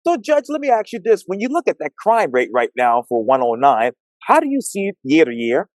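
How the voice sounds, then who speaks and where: A man's voice: fairly high pitch, wildly swooping pitch, fairly quick, no room sound. An American man hosting a one-on-one political interview, courteous and formal in how he addresses his guest. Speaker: interviewer, host.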